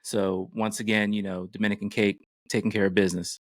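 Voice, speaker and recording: A middle-aged man with an American accent talks casually as a podcast host. His voice sits fairly low, and the sound is dry, with no room echo. The delivery is conversational and informal. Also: little pitch movement, brisk. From United States.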